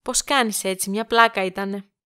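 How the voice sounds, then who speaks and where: A woman's voice: mid-range voice, fairly even intonation, pushed and bright, clear, resonant tone, close to an everyday voice. A woman playing a flighty, cheeky and dramatic young woman in an amateur audio drama, rambling and sarcastic. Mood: playful whining.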